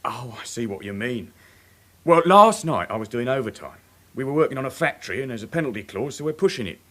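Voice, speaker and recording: An actor playing a young working-class suspect, cocky and defensive, answering glibly in a radio crime drama. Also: male voice, medium pitch, animated, fast, a bit bright.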